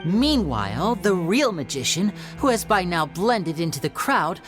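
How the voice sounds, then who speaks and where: A woman playing a poised, self-assured young detective in a video game, explaining things in a measured, theatrical way. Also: fairly low pitch, very animated, soft background music. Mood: knowing, explanatory.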